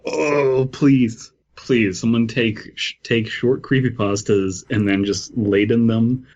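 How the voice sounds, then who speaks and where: A man's voice: medium pitch, steady tone, slow, darkish tone, lo-fi sound. A man co-hosting a podcast in casual chat. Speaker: podcast co-host.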